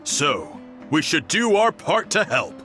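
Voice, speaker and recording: A man voicing a calm adult village leader in a video game, measured and reassuring. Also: on the high side, soft background music, heavily acted.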